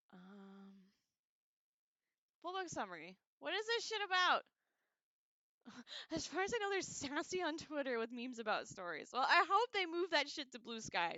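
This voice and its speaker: Animated, bright-voiced woman streamer. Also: high-pitched, no echo, muffled recording. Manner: irreverent, slangy, swears casually. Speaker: livestream host. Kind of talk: casual rambling read-along discussion.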